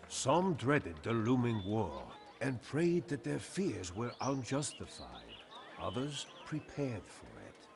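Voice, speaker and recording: A grave military commander tells his tale as formal game narration. His delivery is slow and deliberate, with wide swings in pitch. Noticeable background noise sits behind the voice. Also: creaky. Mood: ominous. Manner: grave, measured, formal storytelling. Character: honored old-world Khmer general, solemn, weathered commander. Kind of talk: dramatic scripted game narration.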